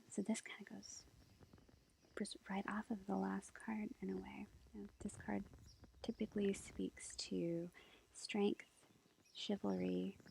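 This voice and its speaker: Sweet young witch girlfriend, played by a woman for tarot ASMR: hushed, mellow, even whisper, gently reassuring, faint noise behind. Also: mid-range voice, low-quality recording. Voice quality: breathy, whispered. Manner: gentle, hesitant, reassuring, unhurried. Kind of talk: soft whispered ASMR roleplay. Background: faint ambient nature sounds.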